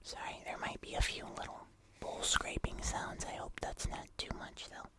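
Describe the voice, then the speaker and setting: A fully whispered, breathy voice speaks close to the microphone in an ASMR style. The delivery is soft and unhurried, with gentle, casual asides as in a relaxed tasting video.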